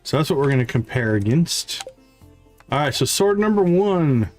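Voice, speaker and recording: A man livestreaming a game, thinking aloud in casual commentary and reading item stats in a deliberate, musing way. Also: a little high, sing-song, darkish tone, soft background music.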